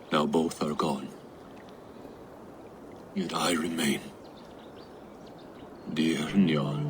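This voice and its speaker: A man's voice: extremely low pitch, slight background noise. A man playing a grieving, weary Norse berserker in a video game, speaking solemnly and poetically.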